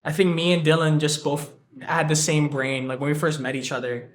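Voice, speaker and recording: Young man, from Japan, rambling interview energy, voice sits a little high. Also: little pitch movement, a little fast. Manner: loose, rambling, jokey. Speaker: tournament organizer. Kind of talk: documentary interview.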